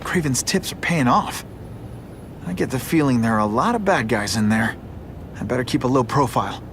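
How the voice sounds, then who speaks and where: An actor playing a young, good-hearted, wisecracking superhero in a video game, wry and conversational. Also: male voice, medium pitch, animated, normal speed, background noise, natural voice. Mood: wry, determined. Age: young adult. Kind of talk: video game cutscene acting. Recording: loud music under the voice.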